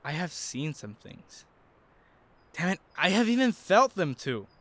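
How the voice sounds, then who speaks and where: A man's voice: high-pitched, sing-song, unhurried, fairly bright tone, full character voice. An actor playing an earnest, shy young man in an audio drama, with a hesitant way of talking. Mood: frustrated. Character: John, devoted young husband, also shy teenage student.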